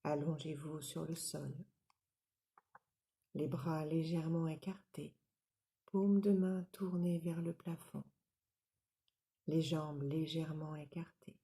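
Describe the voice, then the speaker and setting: Very slow, dark-voiced woman guiding relaxation. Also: low voice. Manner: calm, soothing, measured instructions with soft breathy moments. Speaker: yoga nidra relaxation instructor. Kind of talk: guided relaxation meditation.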